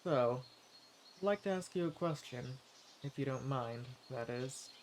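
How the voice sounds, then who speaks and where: A man playing a friendly, eager adventurer and forager in a fantasy roleplay audio drama, speaking politely and earnestly with gentle hesitation. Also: medium pitch, measured pace, a little hiss or hum, natural voice. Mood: curious, polite. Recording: clear outdoor nature ambience with birdsong. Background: forest ambience, birds.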